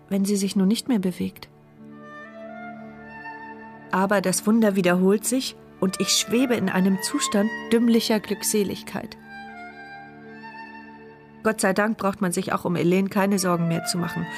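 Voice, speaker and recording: An actress playing a wry, watchful woman narrating her silent inner thoughts in a measured radio drama style. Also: medium pitch, steady tone, a little mellow, natural voice. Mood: reflective. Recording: studio radio drama with music behind.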